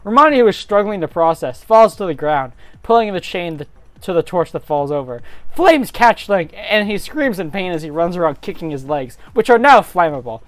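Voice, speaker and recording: Mid-pitched narrator, dramatic fan-story reading, casual asides, dry close sound, music behind. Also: big pitch swings, normal speed, no room sound.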